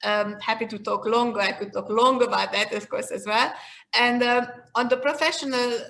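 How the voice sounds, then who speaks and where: A woman's voice: a little high, steady tone, moderate pace, vocal fry, fairly bright tone. A woman in her fifties from Hungary with a Hungarian accent, answering interview questions in a reflective, conversational way. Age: 50s. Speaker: interview guest, leadership expert.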